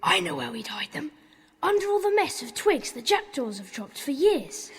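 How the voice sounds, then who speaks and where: A woman playing a nervous, quick-witted young schoolboy in a children's audio drama. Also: high-pitched, sing-song. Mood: jumpy. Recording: long echo of a stone dungeon.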